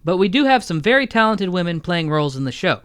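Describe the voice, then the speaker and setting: Man, fairly high smooth clear voice, deadpan joking, interview guest, dry recording. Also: quick pace, no room sound. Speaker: interview guest, audio drama creator. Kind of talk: interview conversation.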